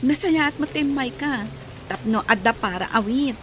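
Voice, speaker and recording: A woman's voice: sits high, lively intonation, normal speed, fairly bright tone, slight background noise. A woman playing a sweet young village woman in an Ilocano comedy radio drama, with an Ilocano Filipino accent. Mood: affectionate, teasing. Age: young adult. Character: sweet young village woman attached to her childhood friend.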